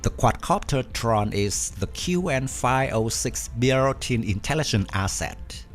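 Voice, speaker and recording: A man reading a scripted documentary narration at an even, measured pace. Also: medium pitch. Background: faint background music.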